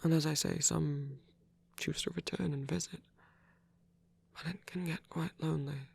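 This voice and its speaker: A man performing a soft ASMR roleplay as a lonely, gentle old vampire priest, speaking haltingly and tenderly in formal words, breathy and often near a whisper. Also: fairly high pitch, fairly even intonation, full character voice. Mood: wistful, tender.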